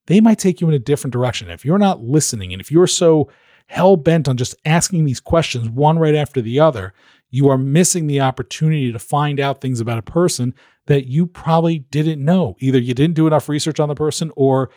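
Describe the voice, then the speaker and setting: A man who coaches podcast hosts, giving animated advice in an interview and acting out quoted voices. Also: a little high, brisk, no echo, studio quality.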